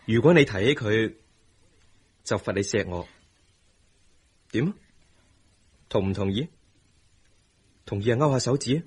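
Radio drama husband: tender, earnest, remorseful, Hong Kong Cantonese accent, lowish breathy voice. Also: male voice, expressive, unhurried, pauses often. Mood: tender, eager. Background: music.

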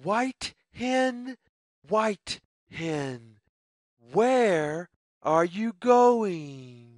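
A storyteller reading a simple children's story aloud slowly and deliberately, phrase by phrase, in a sing-song way. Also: medium pitch, expressive, a little mellow, dry recording, studio quality.

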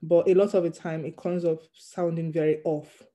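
A doctor in training, a non-native English speaker, answering interview questions in a hesitant, measured way with many ums. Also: medium pitch, little pitch movement, warm tone. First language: not English. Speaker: GP trainee interviewed about passing an exam.

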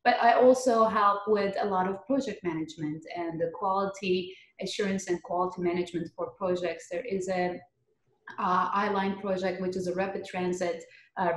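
A woman in her thirties with a Turkish accent, giving conversational interview answers as an engineer on a podcast. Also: lowish voice. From Turkey. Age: 30s. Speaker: podcast guest, geotechnical earthquake engineer. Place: living room at home, indoors. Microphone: computer mic on a video call. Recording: roomy echo on video call.

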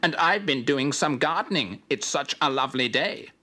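A man's voice: fairly high pitch, animated, normal speed, pushed and bright, voice pushed up. A man voicing a cheerful, playfully secretive male animal friend in a children's story, chirpy and reassuring.

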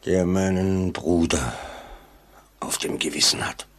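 A man's voice: sits low, slow pace, background noise. An actor playing a brooding, menacing man in a mystery radio play, in 1940s acting style on an old recording. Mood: quietly menacing.

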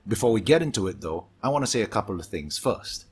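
A man speaking Singaporean English in a fairly low, husky voice. He reads a scripted gaming voice-over at a steady, measured pace. His s sounds hiss, and a low pump hum runs under the recording. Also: faint music behind. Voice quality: strong sibilance, low hum from aquarium pumps. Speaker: gaming YouTuber. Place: home hobby room with aquariums.

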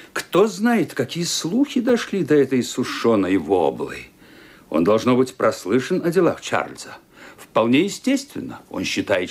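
A man narrating a radio play with intense, expressive storytelling. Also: medium pitch.

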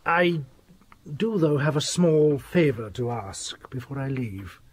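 An actor playing a condescending, insistent doctor in a radio drama, formal and insinuating, with a husky voice. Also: male voice, medium pitch, lively intonation, slow pace. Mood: coolly insistent. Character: smooth-talking, insistent, condescending doctor, a sinister gentleman.

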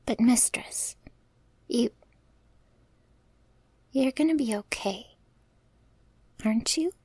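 A woman's voice: fairly high pitch, slow pace, darkish tone, husky, no echo. A woman playing a cheeky, loyal vampire's servant in an intimate ASMR audio roleplay, with a casual, teasing way of talking. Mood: concerned, reassuring. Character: cheeky, flirty, loyal female thrall of a vampire. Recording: hissy, rain-like background noise.

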